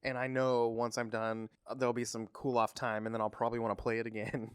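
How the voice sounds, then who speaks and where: A man's voice: medium pitch, level tone, fast, clear, resonant tone, no room sound, studio quality. A man in his thirties co-hosting a casual pop culture podcast, enthusiastic and laughing often. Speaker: podcast co-host.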